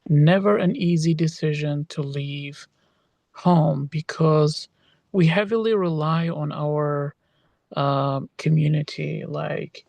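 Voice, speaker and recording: Slow, gravelly-voiced man from Iraq. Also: on the high side, fairly even intonation, a little mellow, no room sound. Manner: hesitant, many fillers and restarts. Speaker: interviewee, PhD student in social work. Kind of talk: casual interview answers.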